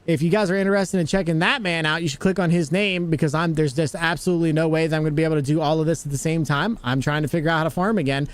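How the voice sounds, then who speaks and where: A young man with an American accent hosts a gaming livestream, chatting loosely with viewers and a friend. His voice sits a little on the high side. He talks in a relaxed, joking way into a desk mic. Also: very fast, few pauses, clear, resonant tone. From United States. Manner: chatty, greets viewers, jokey. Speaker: livestream host. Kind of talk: casual livestream chat while gaming.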